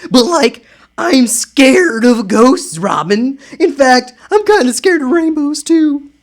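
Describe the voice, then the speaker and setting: A man's voice: extremely high pitch, animated, takes it slowly, pitched above the performer's own voice, full character voice. A man voicing a scared slacker teenage boy who drawls "like" and sounds nervous. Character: lanky scared teen guy, jittery slacker.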